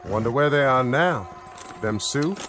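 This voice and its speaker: An actor playing a brash, excitable cavalry corporal. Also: male voice, mid-range voice, measured pace, soft background music, voice pushed up.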